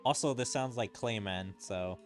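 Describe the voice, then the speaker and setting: A man's voice: fairly low pitch, normal speed. A man streaming a video game, reading story text aloud and musing casually over it in commentary. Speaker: gaming streamer. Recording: game music behind the voice. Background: video game music.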